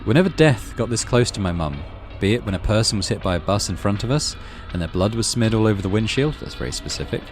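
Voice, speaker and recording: A man with a lowish voice reads a visual novel aloud in a dramatic storytelling style. His pitch moves in a lively, expressive way, and music plays faintly behind him. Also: quick pace, hardly any pauses. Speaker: gaming channel host, narrator.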